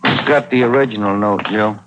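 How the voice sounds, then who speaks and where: An actor playing an inquisitive, sympathetic American detective partner in a 1940s radio drama, talking conversationally on an old radio recording. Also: male voice, fairly low pitch, a little fast, highs cut off, natural voice. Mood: attentive. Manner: conversational, questioning. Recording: faintly noisy 1949 radio recording.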